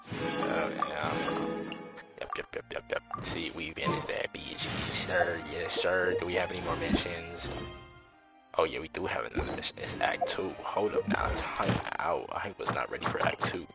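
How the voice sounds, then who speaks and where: A man giving casual, excitable livestream commentary on a game, swearing and talking to himself. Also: low-pitched, gravelly, low-quality recording. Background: game music.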